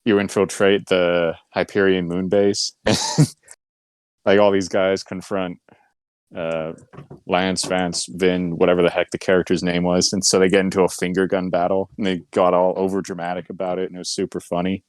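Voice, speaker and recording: A man's voice: sits low, level tone, normal speed, clean tone, no room sound. A man co-hosting a podcast, chatting casually about video games and telling anecdotes with quoted dialogue. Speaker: podcast co-host.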